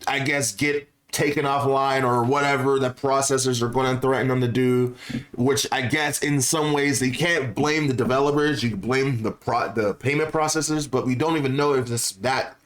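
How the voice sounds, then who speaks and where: A man's voice: medium pitch, fairly even intonation, moderate pace, fairly bright tone. A man giving casual, opinionated gaming commentary to viewers, speaking in an informal, slangy way and repeating phrases for emphasis. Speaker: gaming YouTuber.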